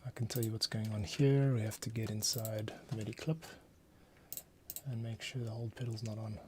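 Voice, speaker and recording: A man's voice: fairly low pitch, level tone, moderate pace, background noise. A man composer talking casually to himself as he works, muttering and counting beats aloud. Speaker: composer, host. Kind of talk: casual working commentary while scoring.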